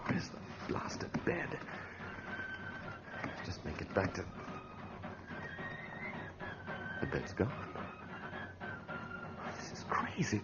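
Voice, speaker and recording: An actor playing a frightened but defiant sceptical man in an old-time radio drama, muttering to himself and bursting into shouts. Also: low voice, wildly swooping pitch, slow pace, pauses often, loud music behind the voice, heavily acted. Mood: anxious. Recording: dull radio drama recording with background noise.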